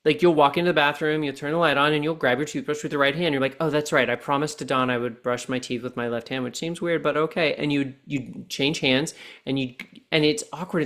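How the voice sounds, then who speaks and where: Earnest guy in his 40s on a podcast, slow and deliberate on the big points. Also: mid-range voice, fairly bright tone. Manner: deliberate, earnest coaching tone, slows down for key points. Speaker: podcast guest, identity coach. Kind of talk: reflective podcast conversation.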